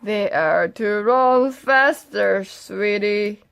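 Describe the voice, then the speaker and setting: A woman's voice: medium pitch, very slow, gravelly, fairly bright tone, slight background noise, heavily acted. A woman voicing a sly, hungry wolf who pretends to be a sweet grandma in a children's fairy tale, coaxing with menace underneath.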